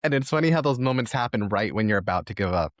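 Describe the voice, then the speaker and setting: A man's voice: fairly low pitch, expressive, fast, no echo, clean studio sound. A man hosting a conversational podcast interview, speaking in an earnest, effusive and reflective way. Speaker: podcast host.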